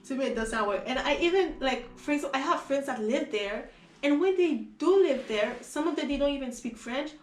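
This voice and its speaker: A young woman from Haiti with a Haitian accent, a Creole speaker, chatting as an interview guest, animated and full of fillers and restarts. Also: high-pitched, a little fast, roomy sound, recorded from a distance, faint music behind. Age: young adult. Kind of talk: casual conversation.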